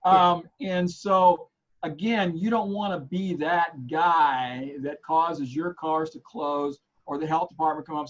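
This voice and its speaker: Hoarse man chatting about golf. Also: fairly high pitch, muffled recording. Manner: rambling, lots of fillers and restarts. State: hoarse, losing his voice. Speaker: co-host of a golf news show. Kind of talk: casual chat.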